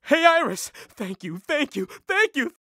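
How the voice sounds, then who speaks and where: A young male cartoon villain who whines and pleads theatrically. The voice is high and bright, very animated, and recorded dry and close. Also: a little slow, no echo, full character voice. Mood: gushing. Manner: melodramatic, whiny pleading. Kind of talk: animated series acting.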